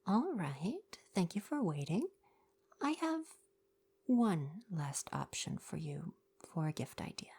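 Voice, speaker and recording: A woman playing a kind, polite young merfolk shopkeeper with gentle sarcasm, softly spoken in an ASMR roleplay. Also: fairly low pitch, big pitch swings, slow pace, slightly rough, exaggerated voice. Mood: helpful, gentle. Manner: polite, chatty, helpful customer-service phrasing. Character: kind young merfolk gift-shop keeper, gently sardonic.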